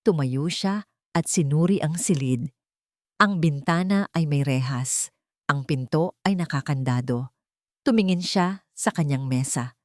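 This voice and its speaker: A woman's voice: low-pitched, a little slow, dry recording, studio quality. A woman with a Filipino accent narrating a dramatic audio story, voicing the characters' lines herself.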